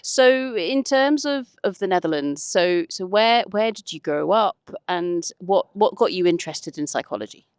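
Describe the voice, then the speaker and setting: A woman's voice: medium pitch, animated, normal speed, hardly any pauses, clear, resonant tone, no room sound, clean studio sound. A woman hosting a podcast, asking questions in a casual, chatty way. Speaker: podcast host, interviewer. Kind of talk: casual interview chat.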